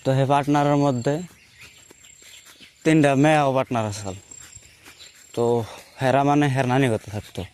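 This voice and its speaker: A man's voice: mid-range voice, normal speed, pauses often, a little mellow, clear, resonant tone. A young man speaking a rural Assam Bengali dialect, casually telling personal stories in a rambling way, circling back to repeat and rephrase himself. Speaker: storyteller recounting his own life.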